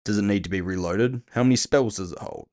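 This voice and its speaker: A man playing a gruff, impatient cyberpunk bounty hunter, terse and sardonic, in an audio drama. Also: fairly low pitch, a little fast, a little mellow, natural voice.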